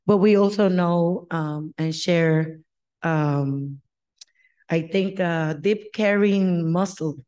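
Slow, low-voiced woman from the Dominican Republic. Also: mellow, dull audio. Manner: measured, many pauses and fillers. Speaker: panelist. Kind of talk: panel discussion. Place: large conference stage.

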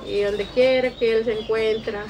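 A middle-aged woman with a Guatemalan Spanish accent, speaking slowly and earnestly in an interview on her patio. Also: fairly high pitch, steady tone, noisy background. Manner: slow, halting and earnest, repeats "va". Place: outdoor concrete-block patio of a home. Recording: outdoor sound with birds behind.

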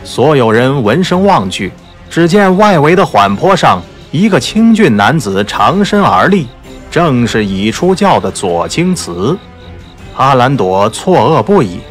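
A man narrating a martial arts novel as a dramatic audiobook. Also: medium pitch.

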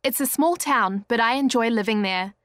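Friendly small-town woman, played by an actress for course audio: fairly high voice, dry studio. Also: moderate pace, fairly bright tone, no echo, lightly acted. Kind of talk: scripted textbook listening passage.

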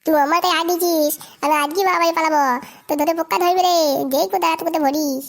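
A woman's voice: extremely high pitch, steady tone, racing. A woman voicing a scolding, crude young village wife in a comedy cartoon. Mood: furious. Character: angry, foul-mouthed young Bengali village wife.

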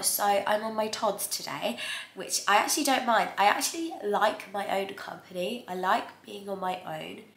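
A woman's voice: medium pitch, fairly bright tone, roomy sound. A woman in her twenties vlogging casually to camera in her living room, sounding blocked up with a cold and laughing lightly between phrases. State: congested with a cold. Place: bright living room, indoors.

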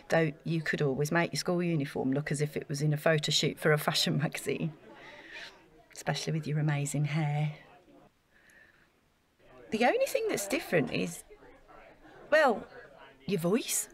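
A woman's voice: low-pitched, expressive, normal speed, long pauses. An actress playing an eager, self-effacing young woman in a naturalistic audio drama, talking in a chatty, gushing way. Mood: admiring, curious.

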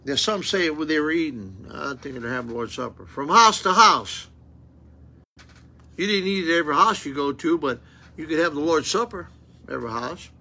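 An older man preaching an informal sermon in a small church, folksy and loud, asking the room rhetorical questions. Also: fairly high pitch, lively intonation, moderate pace, breathy edge. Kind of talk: informal sermon with Bible reading. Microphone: phone camera close up.

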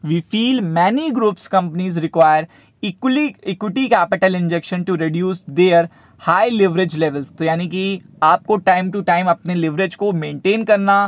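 High-pitched Indian man explaining markets. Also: very slow, dry recording. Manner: repeats himself, mixes Hindi with English terms. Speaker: stock market video host.

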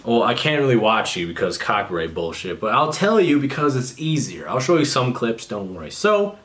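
A man's voice: medium pitch, sing-song, moderate pace, a bit bright. A young man recording a casual commentary video in a small bedroom. He is sarcastic and swears a lot as he mocks what he is reviewing. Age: young adult. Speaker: commentary youtuber.

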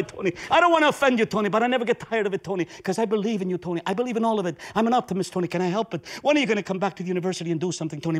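Gushing department-chair professor, melodramatic and fast-talking, dropping Italian phrases, put on by a man. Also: very high-pitched, fairly bright tone. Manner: effusive, pleading, repeats the listener's name constantly; sprinkles in Italian and Latin phrases. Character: gushing middle-aged male professor, melodramatic.

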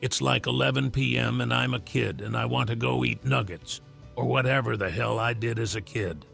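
A man reading out a story in measured narration, with a gravelly, husky voice. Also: medium pitch, steady tone, a bit bright, soft background music. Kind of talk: scripted narration of a reader story.

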